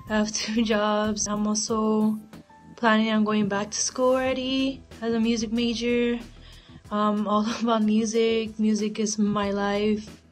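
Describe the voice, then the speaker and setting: A woman in her twenties from Texas giving a chirpy self-introduction on a webcam in a dim bedroom. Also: on the high side. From Texas, USA. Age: 20s. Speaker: contestant.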